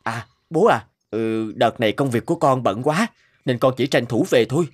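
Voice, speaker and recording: An actor playing an impatient, proud adult son in an animated story, with an emphatic, scolding manner. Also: male voice, medium pitch, moderate pace, breathy edge, lightly acted. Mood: brisk. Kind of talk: animated moral story dubbing.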